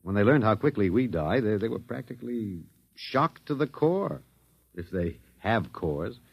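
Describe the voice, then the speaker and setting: An actor playing a wry, detached, philosophical American academic, musing and hesitant, in a 1950s radio drama. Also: male voice, fairly low pitch, expressive, unhurried, creaky, husky, dry recording, heavily acted. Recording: dull vintage 1950s radio recording.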